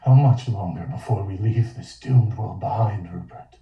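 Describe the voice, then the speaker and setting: An actor playing a weary, brooding old occultist in a dramatic table read. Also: male voice, lowish voice, normal speed, highs cut off, close to an everyday voice. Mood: weary longing. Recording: roomy, distant microphone.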